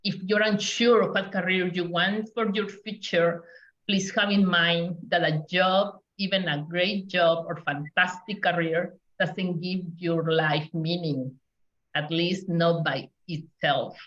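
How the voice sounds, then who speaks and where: Woman panelist, slow and hesitant, distant roomy call audio. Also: lowish voice, fairly even intonation, fairly bright tone, muffled recording. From Mexico. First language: Spanish. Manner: measured, hesitant with many fillers. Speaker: community engagement specialist, panelist. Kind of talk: panel talk on a video call.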